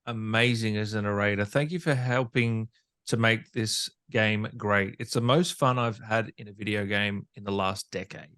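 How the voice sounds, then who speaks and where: A man's voice: lowish voice, fairly even intonation, moderate pace, a bit bright, clean tone, no echo, studio quality. A man hosting a casual interview, agreeable and appreciative as he reads out questions in a conversational way. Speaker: podcast interviewer, host. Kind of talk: casual interview chat, reading viewer questions.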